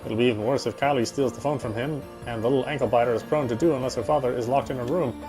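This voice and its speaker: Man voicing a fast, wry British narrator. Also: mid-range voice, over music, close to an everyday voice. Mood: puzzled, wry. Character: wry, self-justifying British husband's inner monologue. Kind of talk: first-person visual novel narration.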